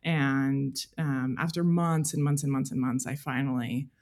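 A woman journalist speaking as an interview guest, explaining things in a measured, detailed, conversational way. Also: extremely low pitch, fairly even intonation, a little mellow. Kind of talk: conversational interview, recounting reporting.

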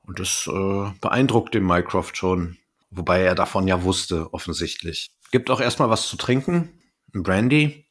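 A man's voice: deep voice, unhurried, a bit bright, husky. A man casually retelling and commenting on a story in colloquial words as a podcast co-host.

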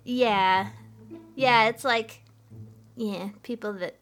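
A woman's voice: fairly high pitch, fairly even intonation, drawn-out words, a bit bright, faint music behind. A woman co-hosting a podcast, chatting casually and giggling often, with frequent restarts. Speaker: podcast co-host. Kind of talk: casual chat.

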